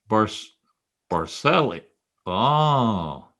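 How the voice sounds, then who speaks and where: Man acting a low-voiced, wry private detective. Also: big pitch swings, extremely slow, fairly bright tone, exaggerated voice. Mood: dry, wry. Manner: wry, hard-boiled. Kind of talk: old-time radio detective drama re-creation.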